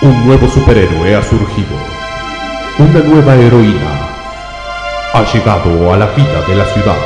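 An actor playing a grandiose, bombastic superhero announcer in mock-epic radio comedy narration. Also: male voice, on the low side, lively intonation, moderate pace, close to an everyday voice. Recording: echoey hall, very loud music.

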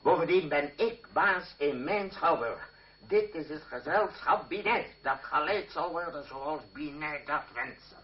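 An actor playing a pompous, irate boss of a theatre company, indignant and declamatory, in a muffled old radio play. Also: male voice, fairly high pitch, lively intonation, a little slow, recorded from a distance, slight background noise. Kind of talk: radio drama acting in Dutch.

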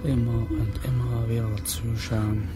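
A man's voice: medium pitch, flat delivery, unhurried, over loud music, close to an everyday voice. An actor playing a withdrawn, brooding young man in a radio play monologue, speaking in short repetitive phrases. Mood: brooding, numb. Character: withdrawn, brooding young male driver, passive onlooker.

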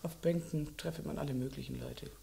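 A subdued, creaky-voiced sad mistress. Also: female voice, low voice, steady tone, fairly quick, warm tone. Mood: sad, resigned. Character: subdued, sad female lover of a murdered man. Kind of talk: German radio crime drama acting. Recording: echoey, distant, noisy old radio recording.

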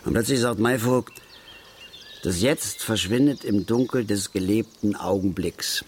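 Low-voiced, blunt middle-aged father played by an actor. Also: a little hiss or hum, natural voice. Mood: pensive. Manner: blunt, halting, repeats words. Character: agitated middle-aged father, passionate angler, aggrieved and plain-spoken. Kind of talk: naturalistic German radio drama acting.

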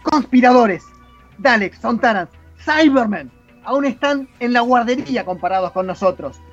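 A grumpy, indignant time-traveling alien declaims in a high voice with an Argentine accent , acted out by a man. He sounds like a radio-show oration against corruption. The recording is dull, with music faintly underneath. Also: lively intonation, moderate pace, full character voice. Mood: indignant, energetic.

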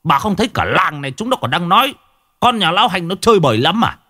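A man's voice: sits high, sing-song, fast, pushed and bright, pitched above the performer's own voice, heavily acted. A man voicing a hot-tempered, stubborn old husband who scolds and snarls in bitter, foul-mouthed questions, in a dramatic audio story. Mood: bitter, accusing. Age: older.

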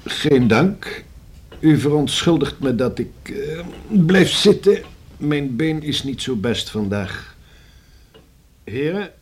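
An actor in a Dutch radio play playing a courteous old man who is husky, careful and formal. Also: on the high side, lively intonation, exaggerated voice. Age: older. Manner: formal, measured, circumspect.

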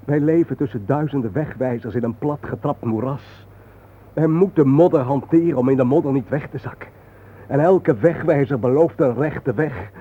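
A man's voice: mid-range voice, moderate pace, slightly rough, close to an everyday voice. An actor playing an intense, soul-searching man, earnest and declamatory in a poetic radio drama. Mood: bitter, urgent. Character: intense, soul-searching man lost among the war dead. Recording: muffled, noisy old radio play recording.

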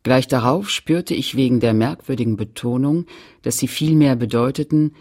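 Husky woman narrator, sober and deliberate, radio drama about a shamed childhood. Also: extremely low pitch, darkish tone. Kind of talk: scripted literary radio narration.

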